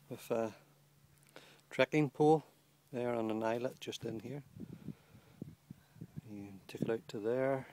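Man, plain mid-pitched voice, matter-of-fact gear reviewer outdoors, handheld camera mic. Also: darkish tone. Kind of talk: casual product walkthrough. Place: outdoors on a lawn beside a small tent. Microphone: handheld camera held by the speaker.

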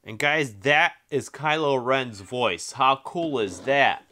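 A man's voice: mid-range voice, slow pace, sharp tone. A man giving casual, informal unboxing and review commentary as a toy reviewer, easygoing and a bit repetitive.

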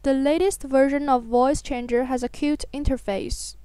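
High, clear-voiced woman narrating a tutorial. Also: fairly even intonation, moderate pace, dark tone, dry recording. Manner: instructional, step by step.

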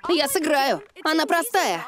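A woman's voice: high-pitched, sing-song, a little slow. A woman voicing an eager young boy in a cartoon dub. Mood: excited. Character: eager young boy piano player and treasure hunter. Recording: music playing behind the voice.

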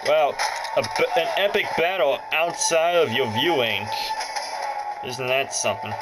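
A man's voice: mid-range voice, unhurried, rough recording. A man giving casual, jokey let's play commentary, often muttering and exclaiming to himself as he plays. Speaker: gaming video host. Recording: loud game music over the voice. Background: handheld game music and sounds.

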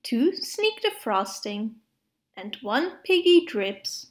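A woman reading children's picture books aloud to preschoolers in an expressive, measured way. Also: fairly high pitch. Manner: expressive, measured phrasing with playful sound words. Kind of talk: storybook read-aloud for preschoolers.

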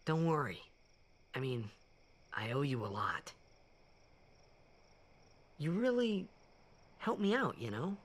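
An actor playing an earnest, restless young athlete hero in a video game, boyish and a little hesitant. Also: male voice, fairly high pitch, sing-song, slow, pauses often, exaggerated voice. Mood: awkward gratitude. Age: young adult. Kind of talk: video game cutscene acting.

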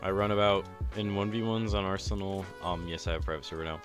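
Young guy w a super deep low voice, laid-back gaming chat, faint music under it. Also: fast talker. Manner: relaxed, rambling, laid-back. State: sore chest and ankle. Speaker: streamer.

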